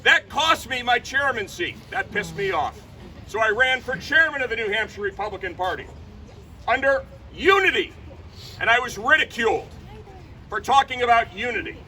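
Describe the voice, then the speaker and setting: A man giving a fiery political rally speech outdoors, loud and punchy, shouting his slogans. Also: very high-pitched, lively intonation, normal speed, far from the mic, slight background noise, low-quality recording. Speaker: rally speaker. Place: outdoor rally by a state capitol.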